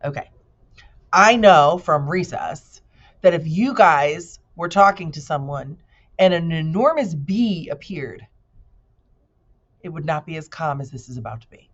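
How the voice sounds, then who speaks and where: A woman reading a children's book aloud like a teacher to pupils, with expressive narration and casual explanatory asides. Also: deep voice, measured pace, long pauses, highs cut off.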